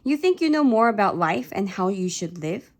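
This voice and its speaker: A woman's voice: medium pitch, sing-song, normal speed, a little mellow. A woman voicing an angry middle-aged immigrant father, bitter and domineering, in a read-aloud novel. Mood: cold, stern.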